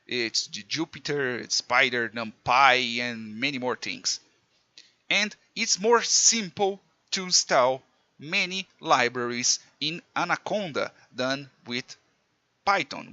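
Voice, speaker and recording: A man from Brazil with a Brazilian Portuguese accent, a native Portuguese speaker teaching programming in halting English with many restarts. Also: medium pitch, lively intonation, pushed and bright, no room sound, lo-fi sound. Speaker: programming tutor. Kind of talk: screen-recorded coding tutorial.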